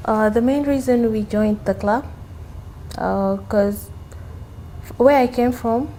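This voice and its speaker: Mellow woman from South Sudan. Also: fairly high pitch, slow, slight background noise. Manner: hesitant, personal. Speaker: panel guest. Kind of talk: panel discussion answer.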